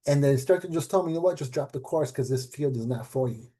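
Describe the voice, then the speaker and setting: Chatty man, mellow low voice. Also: fast. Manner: conversational, many fillers and rhetorical "right?"; animated when quoting others. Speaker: program director and instructor. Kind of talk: casual talk at an event panel.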